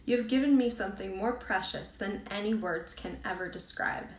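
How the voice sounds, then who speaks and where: A woman's voice: medium pitch, moderate pace, slight background noise. A woman reading a storybook aloud as a scripted narration, as an author reads her own book. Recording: roomy echo, distant from mic.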